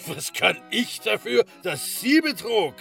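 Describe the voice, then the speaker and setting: A man's voice: high voice, big pitch swings, slow, vocal fry, a bit bright, faint music behind, exaggerated voice. An actor playing a brash, shameless strong man who freeloads in a tavern, blunt and dismissive, in a radio play. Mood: scornful.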